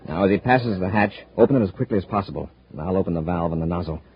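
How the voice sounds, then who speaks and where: A man's voice: low voice, very fast, slight background noise. A man playing a brave, decisive space patrol commander in a 1950s radio adventure, with an authoritative way of giving orders. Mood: firm, decisive. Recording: muffled 1950s radio recording.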